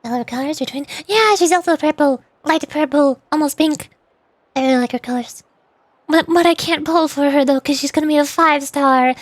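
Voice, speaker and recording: A woman's voice: high-pitched, a little fast, no room sound. A woman livestreamer chatting casually about a game in a bubbly, girlish manner. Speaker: streamer playing and voicing game lines. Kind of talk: casual livestream chat and commentary.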